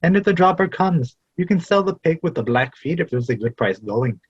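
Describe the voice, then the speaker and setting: A man's voice: on the high side, lively intonation, a little fast, husky, no room sound, highs cut off. A man playing a firm, practical young seafaring son in a stage play. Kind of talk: stage drama read as virtual play.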